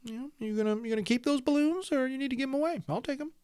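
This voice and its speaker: Storybook kid, played by a man: eager, excitable, slangy, pitched well up, dry close sound. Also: very fast, clear, resonant tone, no echo. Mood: eager, playful. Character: excitable young children in a storybook.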